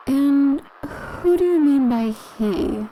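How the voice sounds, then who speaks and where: A calm agency interviewer in an audio drama, with a dark, relaxed voice. She is controlled and patient as she probes. The recording has clear noise behind her. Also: high-pitched, poor audio. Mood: calm, probing. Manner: measured, controlled, gently probing. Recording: clearly audible background noise.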